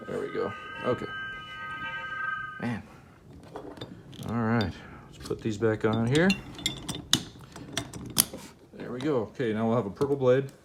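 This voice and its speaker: Man, casual demo narration, talking while working, handling clicks, noisy recording. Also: on the low side. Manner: informal, talks to himself while working. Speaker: video presenter demonstrating a gadget. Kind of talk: casual hands-on demonstration. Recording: clear background noise, handling clicks.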